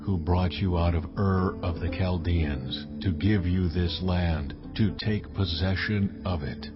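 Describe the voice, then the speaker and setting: A deep divine male voice, solemn and commanding. He speaks slowly and with stately weight in a scripture drama, over clear music on a slightly dull recording. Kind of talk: dramatized audio Bible acting. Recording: dull, band-limited stream with music.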